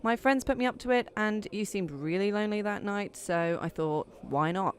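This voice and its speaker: A woman's voice: medium pitch, moderate pace, lightly acted. A woman playing a guarded, sarcastic but kind young woman in an audio drama, speaking in a casual, wry way. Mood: friendly, apologetic.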